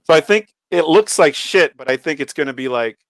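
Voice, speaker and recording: Blunt man, slightly high voice, dry sound. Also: expressive, brisk, gravelly, no echo. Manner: blunt, opinionated, sweary. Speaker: podcast co-host. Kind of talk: casual gaming chat.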